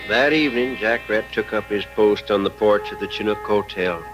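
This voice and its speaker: An American actor as the grave narrator of an old radio western, deliberate and dramatic. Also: male voice, on the low side, lightly acted, rough recording. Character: grave storyteller of a western tale. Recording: old radio recording with music.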